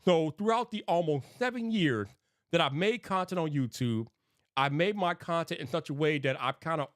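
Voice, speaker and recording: A man's voice: medium pitch, fairly bright tone, no echo, clean studio sound. A man with a lisp, talking earnestly and casually to his livestream chat as a tech creator. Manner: earnest, rambling, sometimes hypes viewers. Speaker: tech YouTube creator live streaming.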